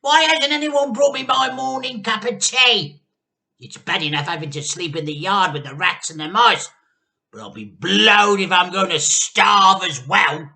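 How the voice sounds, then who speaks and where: A man voicing a horrid, bossy giant of an old grandmother in a children's storybook, loud, shouted and scolding. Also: sits high, unhurried, creaky, voice pushed up, heavily acted. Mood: indignant shouting. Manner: scolding, imperious.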